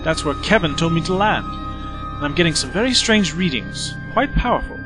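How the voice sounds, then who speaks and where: Man as a brisk, high-voiced time traveller. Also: sing-song. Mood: brisk, cautious. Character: brisk, cautious male time-travelling investigator. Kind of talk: audio drama acting. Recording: music bed under the dialogue.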